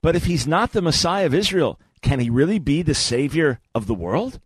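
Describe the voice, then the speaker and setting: A man's voice: a little high, sing-song, moderate pace, breathy edge, no room sound, studio quality. A man hosting a talk radio show, speaking emphatically in rhetorical bursts.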